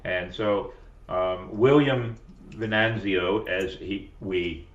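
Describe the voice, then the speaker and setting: A man's voice: low voice, takes it slowly. A man hosting a podcast, talking casually in a rambling, self-correcting, jokey way. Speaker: podcast host. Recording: roomy, distant, dull lo-fi sound.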